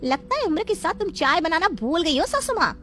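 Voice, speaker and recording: A woman voicing a vain, spoiled young wife in a moral story, haughty, sharp-tongued and theatrical. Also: very high voice, big pitch swings, a little fast, slight background noise, full character voice. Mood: bossy, scornful.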